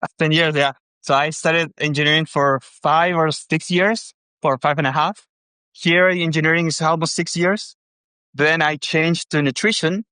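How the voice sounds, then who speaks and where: A man's voice: a little high, fairly even intonation, moderate pace, bright, forceful tone, clear, resonant tone, no room sound. A man in his thirties with a Chilean Spanish accent, explaining himself to a host in hesitant second-language speech. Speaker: caller. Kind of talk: conversational, explaining.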